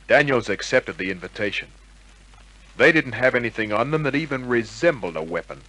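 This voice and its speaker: Hard-boiled male detective for vintage radio drama: cool, wry, clipped, with a bright mid-pitched edge. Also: expressive, a little fast, poor audio. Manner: wry, clipped tough-guy delivery. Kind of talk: old-time radio crime drama acting and narration.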